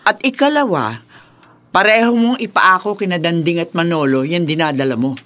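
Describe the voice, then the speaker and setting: An actress playing a blunt, scheming madam who scolds and persuades in a Filipino radio soap opera. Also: female voice, fairly low pitch, lively intonation, moderate pace, fairly bright tone. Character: brisk, scheming older madam, blunt and unsentimental.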